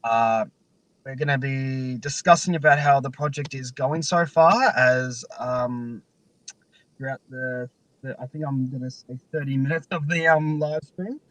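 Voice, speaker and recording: A young man hosting a casual live stream chat in a halting, hesitant way. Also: mid-range voice, a bit bright, no room sound, rough recording. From Australia. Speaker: live stream host and fan-dub project leader.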